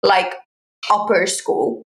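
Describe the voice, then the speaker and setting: A woman's voice: mid-range voice, takes it slowly, fairly bright tone. A woman from Finland, a native Finnish speaker, chatting casually as a podcast co-host and sharing news in informal, hesitant phrasing. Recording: roomy, echoey sound.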